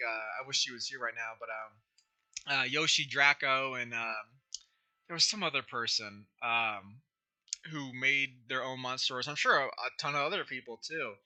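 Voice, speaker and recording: Young male streamer, hoarse, very bright tone, casual rambling chat full of fillers. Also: medium pitch. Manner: rambling, many fillers and restarts. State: hoarse, scratchy throat. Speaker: YouTube creator hosting a livestream. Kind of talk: casual livestream chat answering viewers.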